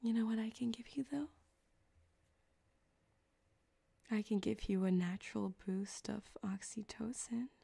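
A woman's voice: fairly high pitch, moderate pace, gravelly, warm tone, breathy edge, exaggerated voice. A woman playing a flirty, sadistic cyberpunk surgeon in an ASMR roleplay, coaxing and teasing, drifting into whispers on a close microphone. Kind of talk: ASMR roleplay, intimate one-sided scene. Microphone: close binaural microphone.

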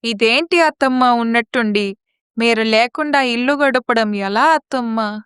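A woman playing a dutiful, clear-voiced daughter-in-law. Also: a little high, a little slow, dry recording, clean studio sound. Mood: caring. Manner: soft-spoken, polite. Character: dutiful, respectful, patient elder daughter-in-law. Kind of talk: moral story audio drama acting.

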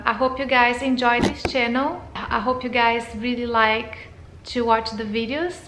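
A woman's voice: on the high side, flat delivery, unhurried, a bit bright, roomy sound, slight background noise, poor audio. A woman in her thirties from Brazil, speaking English with a Brazilian Portuguese accent in a hesitant, casual intro to camera, with fillers and restarts. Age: 30s. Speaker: vlogger.

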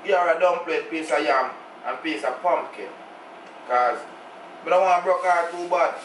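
A middle-aged Jamaican man chats away in a home kitchen, slipping into patois as he cooks. His voice sits a little high for a man, and the room rings back off the cabinets with a faint hum underneath. He sounds recorded by a camera resting on the counter. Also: normal speed, a bit bright, reverberant, poor audio. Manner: chatty, preachy patois asides. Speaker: cooking channel host. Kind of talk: casual cooking vlog.

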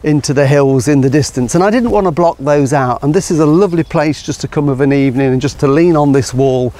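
A middle-aged man giving a relaxed, enthusiastic guided tour of his garden outdoors, explaining as he walks. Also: on the high side, fast talker. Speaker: gardener and show host.

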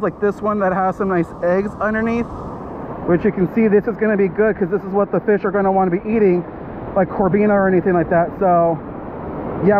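A man's voice: high voice, lo-fi sound, noisy background. A young man filming a fishing vlog on a sunny beach with a chest-mounted camera, talking upbeat and eager to his viewers. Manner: upbeat, keeps saying he is super excited. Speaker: fishing vlogger. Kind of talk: casual vlog narration to camera. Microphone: chest-mounted action camera.

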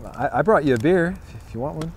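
A man in his thirties with a rather high, very animated voice that swings through its range. He stumbles over words in the sketch bits and speeds through upbeat sports banter, recorded outdoors on a handheld mic. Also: fast, slight background noise. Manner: hesitant, stammering in the sketch, upbeat presenter patter as host. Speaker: comedy show co-host. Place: outdoors in snow beside a stadium.

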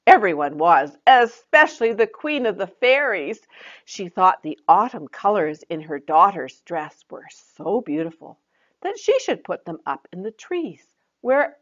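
A woman in her sixties reads a children's story at a slow, measured pace. Her storytelling is expressive, with lively rises and falls. The recording is a little dull. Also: lowish voice. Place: small plain room indoors.

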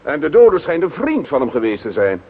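An actor playing a proud, easily offended doctor, stiff and formal, with a husky voice, in an old radio play. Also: male voice, a little high, wildly swooping pitch, a little fast, phone-quality sound, full character voice. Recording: thin, band-limited old radio recording.

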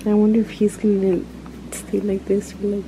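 Woman in her twenties, mid-range voice, informal slangy talk, clearly noisy vlog camera recording. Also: mid-range voice, completely flat delivery, moderate pace, distant mic. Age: 20s. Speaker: vlogger's partner and new mother. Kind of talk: casual chat. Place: small hospital room, in bed. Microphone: vlog camera held by someone else. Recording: clearly noisy room ambience.

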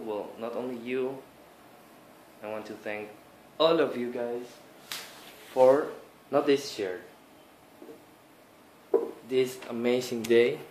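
A man picks his English words slowly and carefully. His voice sits at an ordinary pitch and rings out in a roomy, echoing space. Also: a little hiss or hum. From Paraguay. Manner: slow careful non-native English.

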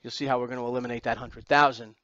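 A man's voice: medium pitch, little pitch movement, racing, sharp tone, no echo, muffled recording. A man teaching an accounting exam class, lecturing through slides step by step with emphatic repetition and rhetorical questions.

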